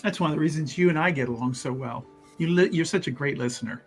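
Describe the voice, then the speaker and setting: A woman's voice: deep voice, fast talker, soft background music. A woman playing a tabletop game, speaking in character in a teasing, flirtatious way. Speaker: player.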